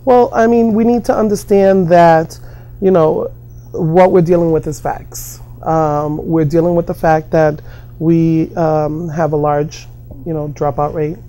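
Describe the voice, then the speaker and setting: A middle-aged American woman answering informally in an interview, halting and often repeating her phrases. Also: low voice, steady tone, dark tone. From New York and Massachusetts, United States. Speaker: interviewee, community worker.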